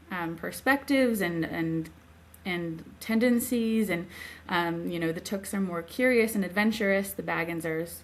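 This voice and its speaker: A woman's voice: mid-range voice, fairly bright tone. A woman speaking as an interviewed author, explaining her ideas in a casual, earnest, thoughtful way. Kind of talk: casual reflective interview talk.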